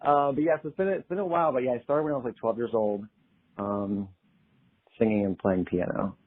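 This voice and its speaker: Young male singer-songwriter guest, relaxed and chatty, laughs easily; narrow phone-like sound. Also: mid-range voice, brisk, breathy edge. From Pennsylvania. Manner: easygoing, chatty, laughs often. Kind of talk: casual interview chat. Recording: tinny phone-like line.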